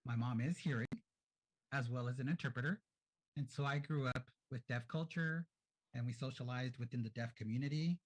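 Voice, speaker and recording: Husky-voiced man introducing himself. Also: medium pitch, brisk, rough recording. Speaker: panelist. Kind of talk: panel self-introduction.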